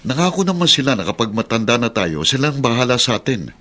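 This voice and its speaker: An actor in a Filipino radio drama playing a weary, patient middle-aged uncle and husband, with a placating manner. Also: male voice, mid-range voice, very animated, fast talker, sharp tone. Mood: exasperated, defensive.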